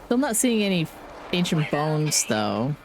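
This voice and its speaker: A woman live-streaming a game with casual, playful commentary, chattering to herself, her voice deepened by being sick. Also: very animated, a little slow, noisy background.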